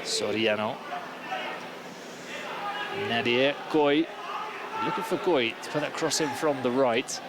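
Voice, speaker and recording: A man from Spain with an English accent giving measured, analytical play-by-play football commentary. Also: medium pitch. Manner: measured, analytical calling of the action. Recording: loud crowd and stadium noise.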